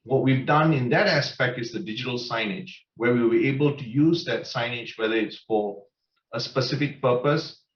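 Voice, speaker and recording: A man's voice: mid-range voice, distant mic. A man speaks as a webinar panelist, sure of himself and explanatory, often checking in with "right?". His voice sits in the middle range. It comes through a video call from a roomy space, set back from the mic, with a slightly dull, muffled line. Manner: confident, explanatory, tags with "right?".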